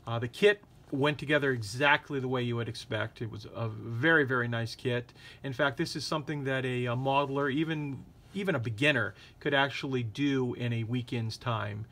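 A man hosting a casual scale-model painting tutorial, explaining each step in a relaxed way. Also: mid-range voice, normal speed. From United States. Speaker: hobby shop host. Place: hobby workbench indoors.

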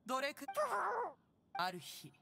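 A woman's voice: high voice, wildly swooping pitch, slow pace, creaky, pushed and bright, a little hiss or hum, full character voice. A woman voicing a proud, ancient, motherly crane immortal in an anime game, speaking in an imperious, archaic, teasing way. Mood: fond reminiscing. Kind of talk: Japanese anime game voice acting. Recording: music behind.

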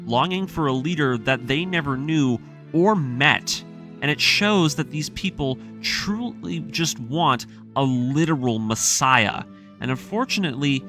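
A man narrating a story breakdown with commentary, in an earnest, emphatic, scripted storytelling manner. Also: medium pitch. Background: background music.

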